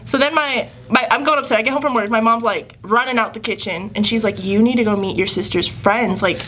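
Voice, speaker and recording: Young woman yapping on a podcast, storytelling, bit high voice. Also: brisk, a bit bright. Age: 20s. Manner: chatty, laughing, tells stories with asides and swearing. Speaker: podcast co-host. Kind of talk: casual chat.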